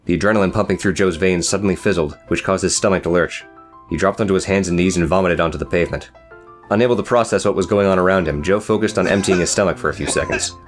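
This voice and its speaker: A man's voice: low voice, fast talker, faint music behind. A man narrating a scripted, dramatic story as an audiobook reader.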